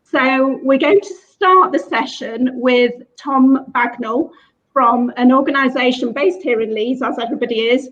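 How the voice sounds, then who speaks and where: A woman's voice: high-pitched, unhurried, fairly bright tone, highs cut off. A woman chairing an online panel discussion, upbeat and welcoming.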